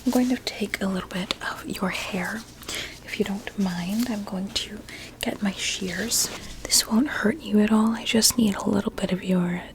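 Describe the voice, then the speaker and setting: A woman playing a sinister, courteous doctor in an ASMR roleplay, mostly in a soft, breathy whisper with a measured, clinical manner. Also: medium pitch, background noise, close to an everyday voice. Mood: calmly eager. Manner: slow, measured, clinical and politely formal.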